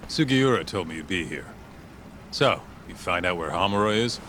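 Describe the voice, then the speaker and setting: A man's voice: deep voice, animated, moderate pace, a bit bright, slight background noise. An actor playing a brash, easygoing tough-guy sidekick in a video game, talking in casual slang. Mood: casual, curious. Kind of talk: video game cutscene acting.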